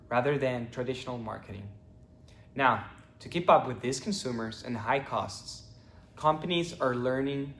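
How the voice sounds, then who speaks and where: A young man from Ecuador, a finance student, giving a prepared class presentation at a steady pace with the odd stumble. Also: mid-range voice, roomy sound, a little hiss or hum. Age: young adult.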